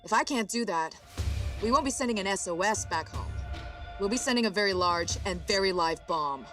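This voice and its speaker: An actress playing a determined, clever female professor in a video game, speaking with brisk purpose. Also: medium pitch, expressive, fairly bright tone, faint music behind, close to an everyday voice. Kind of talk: video game cutscene acting.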